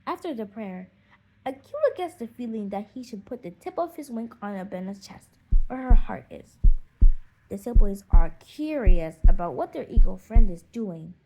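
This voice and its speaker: A woman's voice: mid-range voice, very animated, moderate pace, mellow, soft background music, rough recording. A woman narrating a children's storybook as an audiobook.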